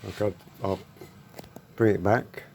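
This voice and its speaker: A man's voice: fairly low pitch, fairly even intonation, slow pace, a little mellow, a little hiss or hum. A man giving a casual spoken demonstration, explaining step by step, repeating his points and checking with short tag questions. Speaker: presenter demonstrating an audio equipment fault.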